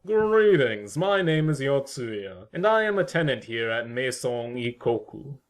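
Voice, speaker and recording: A man's voice: medium pitch, sing-song, a little slow. A man playing a mysterious, knowing tenant with a formal, theatrical, wordy manner in an audio drama. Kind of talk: fan audio drama acting.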